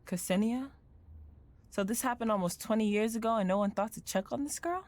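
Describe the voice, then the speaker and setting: A woman's voice: fairly high pitch, fairly quick, darkish tone, close to an everyday voice. A woman acting in an audio drama as a principled company employee, speaking in an earnest, probing way. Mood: concerned.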